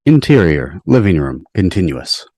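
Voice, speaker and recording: Man, deep voice, scripted narration, stage directions, dry room. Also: big pitch swings, normal speed, a little mellow, no echo. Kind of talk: scripted narration at a table read. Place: online video call. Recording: dry video-call audio.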